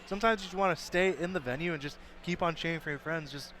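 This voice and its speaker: A man commentating an esports tournament in casual, upbeat chat, his voice slightly hoarse from cheering. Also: a little high, fairly bright tone, slight background noise. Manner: chatty and upbeat, quick rambling asides.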